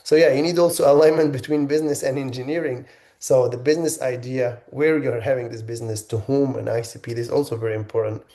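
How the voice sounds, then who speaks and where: Egyptian-born guy hosting a webinar, mellow low-key tone, lots of um and restarts. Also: steady tone. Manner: halting, many restarts and fillers. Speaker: webinar host. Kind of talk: webinar presentation.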